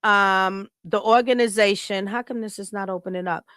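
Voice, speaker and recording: A woman's voice: mid-range voice, fairly even intonation, normal speed, a bit bright, dry recording. A woman from Chicago co-hosting a live podcast, talking casually and reading a heartfelt written tribute aloud. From Chicago, United States. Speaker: podcast co-host.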